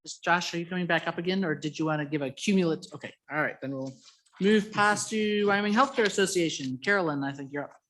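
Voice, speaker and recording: A committee chair running a legislative meeting, calling on speakers in a brisk, informal way. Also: mid-range voice, fairly bright tone. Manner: brisk, informal, joking with attendees. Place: legislative committee hearing room.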